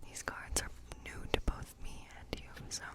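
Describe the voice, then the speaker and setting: A woman playing a quirky, playfully spooky witch in a whispered ASMR role play, murmuring haltingly and repeating words to herself. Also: natural voice. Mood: delighted, excited. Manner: hushed, halting, muttering repetitions to herself.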